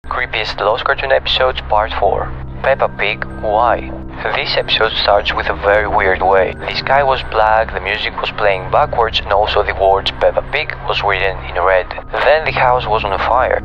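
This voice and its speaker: A man's voice: fairly low pitch, highs cut off. A man in his twenties narrating scripted creepy horror stories. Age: 20s.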